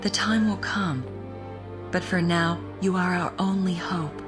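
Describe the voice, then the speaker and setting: A woman voicing a gentle, solemn game character who pleads in formal, measured, earnest words. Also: lowish voice, fairly even intonation, lightly acted. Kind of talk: video game voice acting. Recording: music under the voice.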